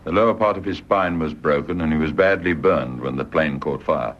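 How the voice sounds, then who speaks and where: An actor playing a steady, frank family doctor in a British radio drama, speaking in a measured, matter-of-fact British manner. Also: male voice, rumbling low. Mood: grave, frank. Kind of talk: British stage-play radio drama acting. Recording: dull audio, faint background noise.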